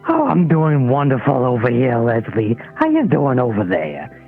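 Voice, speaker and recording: A man's voice: mid-range voice, wildly swooping pitch, normal speed, creaky, faint music behind. A man voicing a silly prank caller who repeats the same greeting over and over on a phone line. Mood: goofy.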